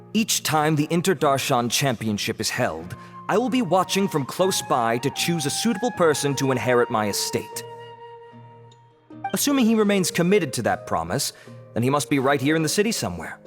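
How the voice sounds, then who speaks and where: Game lawman: composed, polite, formal, expressive intonation, light music underneath. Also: on the high side, normal speed. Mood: explanatory. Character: calm, courteous male city law officer, dutiful and reassuring. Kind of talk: video game voice acting.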